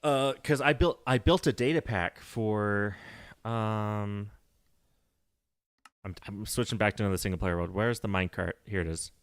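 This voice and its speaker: A man's voice: lowish voice, normal speed, smooth, no room sound, clean studio sound. A man chatting casually on a game livestream, rambling and correcting himself as he goes, with a nerdy, laughing manner. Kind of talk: casual livestream chat while playing.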